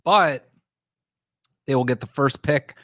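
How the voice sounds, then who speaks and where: A man's voice: medium pitch, slow pace, bright, forceful tone, no echo, clean studio sound. A man hosting a sports talk show, with a scratchy voice from a sore throat, rambling with frequent restarts. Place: small studio.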